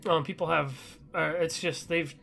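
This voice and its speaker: A man's voice: a little high, fairly even intonation, moderate pace, gravelly, a bit bright, faint music behind. A man hosting a podcast, chatting casually about gaming news in a rambling, loosely conversational way. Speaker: podcast host.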